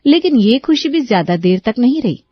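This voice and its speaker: A woman's voice: on the high side, very animated, brisk, no echo, highs cut off. A woman storyteller reading scripted narration for a family moral story.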